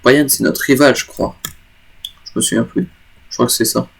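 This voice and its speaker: A man's voice: medium pitch, fairly even intonation, normal speed, gravelly, slightly rough. A man with a cold, casually commenting on a game from home, rambling, correcting himself and joking along the way. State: stuffy with a cold. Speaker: gaming YouTuber. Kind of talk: casual let's play commentary.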